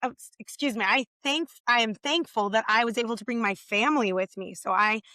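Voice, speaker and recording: A woman with a slightly raspy, overused voice, chatting enthusiastically on a podcast as co-host. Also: on the high side, fairly quick, sharp tone, dry recording. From California. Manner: friendly, enthusiastic, rambling. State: losing her voice from overuse. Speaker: podcast co-host, rules director. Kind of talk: casual podcast chat.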